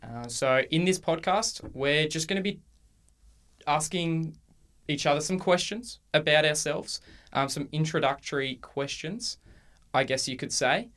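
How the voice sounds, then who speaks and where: A man in his twenties with an Australian accent, co-hosting a casual podcast and chatting in a relaxed way with frequent ums and restarts. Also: fairly high pitch, measured pace. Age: 20s. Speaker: podcast co-host. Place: university room.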